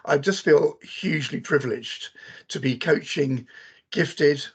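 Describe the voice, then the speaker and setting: An older man, a coach, answering earnestly on a video call with a hoarse voice. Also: a little high, unhurried, dull audio.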